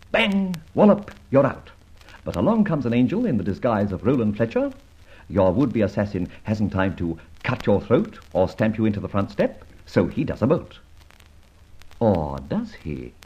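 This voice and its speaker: An actor playing a cocky, quick-witted gentleman adventurer in a radio drama, with a breezy, wry, theatrical delivery. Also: lowish voice, breathy edge, full character voice. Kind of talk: old-time radio drama acting and narration. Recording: muffled old radio recording, music behind.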